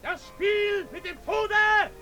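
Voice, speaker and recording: An actor playing a lurid fairground barker in a German radio play, crying out the show in a slow, sensational, declamatory way. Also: male voice, very high-pitched, full character voice. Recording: music behind the voice.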